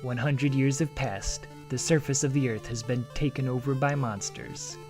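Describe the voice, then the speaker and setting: A man's voice: medium pitch, normal speed, over music. A man hosting a video that compares game translations, reading lines of game text aloud and breaking off to comment. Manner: lively, jokey, breaks off to comment with sudden excitement.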